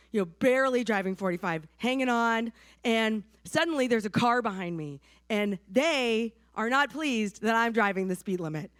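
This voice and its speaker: Hoarse woman preaching, slightly high voice. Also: a bit bright, no room sound, studio quality. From New Hampshire, USA. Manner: conversational, checks in with the congregation. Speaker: pastor. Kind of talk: sermon.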